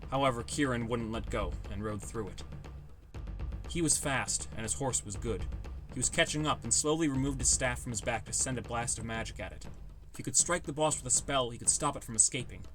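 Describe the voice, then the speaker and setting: A man's voice: mid-range voice, fairly quick. A man reading a scripted first-person fantasy story as an audiobook narrator, with music behind him.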